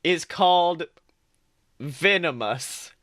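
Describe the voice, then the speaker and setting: Man, podcast host, higher-pitched bright voice, close dry sound, jokey and theatrical. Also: animated, very slow, dry recording. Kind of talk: casual comedic podcast chat.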